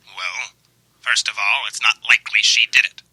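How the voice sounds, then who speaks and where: An actor playing a blunt, businesslike police detective with a husky voice, in an old time radio mystery. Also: male voice, lowish voice, steady tone, normal speed, sharp tone, no echo, heavily acted, poor audio. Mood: matter-of-fact. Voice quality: husky, raspy.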